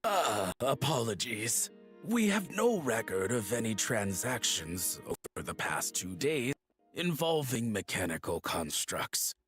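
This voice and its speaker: A courteous male shopkeeper, formal and businesslike. His mid-pitched voice swings very widely in intonation, in video game style over faint music. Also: big pitch swings, a little slow, a bit bright.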